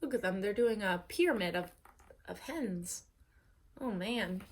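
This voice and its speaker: A woman storyteller reading aloud to children in an expressive, dramatic way, as at bedtime story time. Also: mid-range voice, a little slow.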